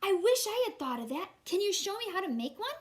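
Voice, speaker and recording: A friendly, wide-eyed storybook boy, played by a woman reading aloud. His voice is high and loud, with eager exclamations. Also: big pitch swings, fast. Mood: amazed. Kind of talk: read-aloud children's storybook.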